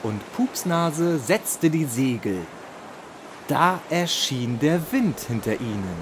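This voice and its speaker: A man's voice: fairly high pitch, expressive, slow pace, background noise. A man narrating a children's bedtime story as a scripted narrator.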